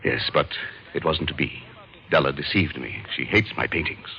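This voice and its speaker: An actor playing a rich, fussy, self-important art collector and unhappy husband in an old-time radio detective drama, with a pompous, fluttery manner. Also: male voice, a bass voice, animated, normal speed, vocal fry, exaggerated voice. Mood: fussy, agitated. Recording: dull, lightly noisy old radio recording.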